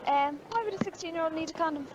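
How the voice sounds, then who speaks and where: A woman briskly putting direct questions to passers-by in an old street interview. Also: extremely high pitch, highs cut off, heavy background noise. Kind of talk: vox-pop street interview. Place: outdoors on a city street. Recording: old archive TV clip, busy street.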